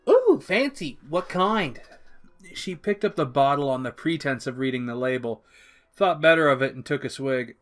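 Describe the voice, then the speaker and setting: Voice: mid-range voice, fairly quick, fairly bright tone. A narrator reading scripted fiction expressively, as in an audiobook. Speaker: story narrator.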